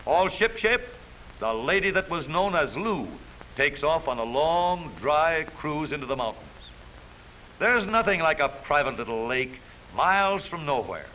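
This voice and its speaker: An American man delivers jaunty 1930s newsreel narration in a fairly high voice. His theatrical, wisecracking lines swing widely in pitch. The old soundtrack is dull, with a light hiss. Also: sing-song, moderate pace.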